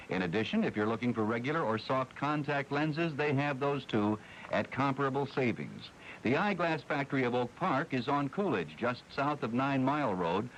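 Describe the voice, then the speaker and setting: A man's voice: mid-range voice, poor audio. An American man reading a scripted local radio commercial as an announcer, on a hissy 1980s AM recording.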